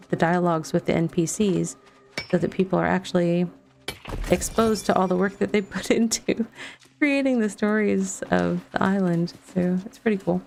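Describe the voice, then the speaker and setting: A woman giving casual let's play commentary and chatting with viewers in a rambling, halting way. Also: lowish voice, creaky, a little mellow, slight background noise. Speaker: game streamer.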